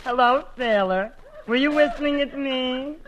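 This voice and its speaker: Man putting on a high-pitched gushy, vain young woman. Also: measured pace, fairly bright tone. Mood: coy, wistful. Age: 20s. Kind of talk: 1940s radio comedy acting. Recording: noisy old 1940s radio broadcast.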